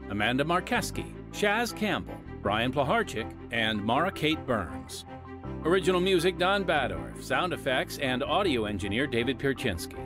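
A man with an American accent delivers scripted radio narration as a podcast host. His pitch moves in lively sweeps, and music plays clearly behind him.

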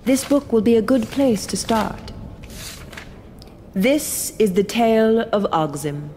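A woman's voice: on the high side, lively intonation, slow pace, dark tone, slight background noise. An actress playing a kindly, scholarly lady mentor in a fantasy audio drama, speaking formally and graciously. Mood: cautioning, storytelling. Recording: long hall reverb, music behind.

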